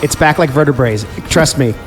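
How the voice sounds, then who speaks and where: A man's voice: fairly high pitch, brisk, faint music behind. A man guesting on a comedy podcast, chatting casually and riffing with playful asides.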